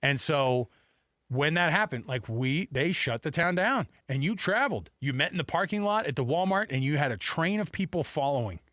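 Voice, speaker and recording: A man hosting a sports talk radio show, telling stories and bantering in an animated, emphatic way. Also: on the high side, normal speed, dry recording, studio quality. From Texas and Seattle. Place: radio studio. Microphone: close studio microphone.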